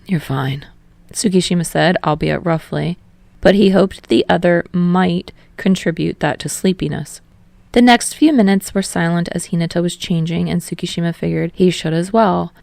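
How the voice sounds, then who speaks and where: A woman's voice: on the low side, fairly even intonation, moderate pace, gravelly, a little mellow, no echo. A woman reading scripted fiction as an audiobook narrator. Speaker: podfic narrator.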